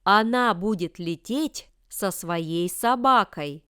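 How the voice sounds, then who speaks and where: A woman reading example sentences for language learners with careful, deliberate enunciation. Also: medium pitch, animated, fairly bright tone, clean tone, no echo, studio quality. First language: Russian. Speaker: language lesson reader.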